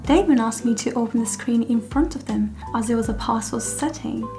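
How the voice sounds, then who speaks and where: A young woman from Okinawa, Japan, with a Japanese accent and Japanese as her native language, narrating a scripted vlog in a calm, measured, soft-spoken way. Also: on the high side, soft background music. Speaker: language vlogger.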